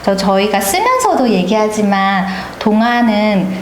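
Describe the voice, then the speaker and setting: A woman's voice: mid-range voice, sing-song, moderate pace. A woman speaking as an interview guest, an author and teacher, talking enthusiastically with frequent laughs. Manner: warm, enthusiastic, laughs often. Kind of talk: conversational interview. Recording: echoey room with faint noise.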